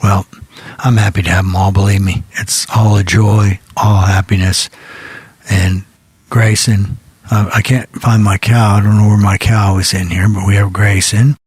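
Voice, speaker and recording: Man, low husky voice, casual radio DJ, folksy rambling delivery, dry studio sound. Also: flat delivery, moderate pace, dry recording. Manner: rambling, folksy, repeats phrases. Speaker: internet radio show host. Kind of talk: casual, ad-libbed rock radio DJ chat.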